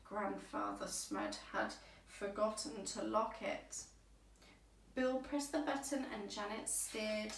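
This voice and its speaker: Unhurried woman reading a bedtime story. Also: mid-range voice. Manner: deliberate, expressive, measured phrasing. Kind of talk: rhyming bedtime story read aloud for children. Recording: roomy echo, distant microphone.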